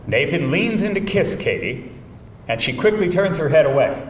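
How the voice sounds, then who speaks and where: A man narrates stage directions with lively, varied intonation in a mid-pitched voice. He sounds a little way from the mic in a very roomy space. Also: moderate pace. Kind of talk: scripted narration at live table read. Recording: distant mic, roomy hall, faint rumble.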